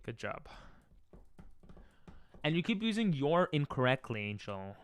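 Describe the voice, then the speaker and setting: Lively, joking male game streamer. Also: medium pitch, measured pace, smooth. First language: Hebrew. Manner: joking, reads chat aloud, bursts into silly freestyle rhymes. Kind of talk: casual live-stream chat while gaming.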